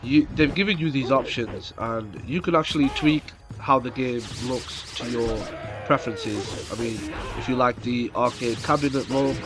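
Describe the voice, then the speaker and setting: A man with a cold and a nasal voice, casually commenting on gameplay for his channel, with fillers. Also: medium pitch. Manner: slow, rambling, many fillers. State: has a cold coming on. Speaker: gaming YouTuber. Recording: game music behind voice. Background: game music.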